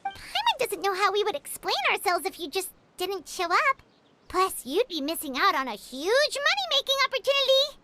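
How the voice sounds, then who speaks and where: Video game fairy companion: very high, childlike, hugely animated, cheerful chatterbox. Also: female voice, normal speed, very noisy. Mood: persuasive. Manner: refers to self in third person, bubbly, eager.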